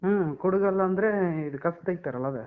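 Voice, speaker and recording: High-pitched North Karnataka man, baffled, on phone. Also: fairly quick. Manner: baffled, exasperated, protesting. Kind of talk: casual phone chat. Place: phone call. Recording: dull, band-limited phone call.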